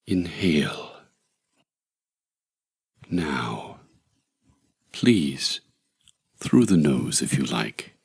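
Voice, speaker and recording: Super deep husky guy doing a guided meditation, soothing, leaves long pauses. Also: sing-song. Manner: measured, soothing, deliberate delivery with long pauses. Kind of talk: slow scripted guided meditation.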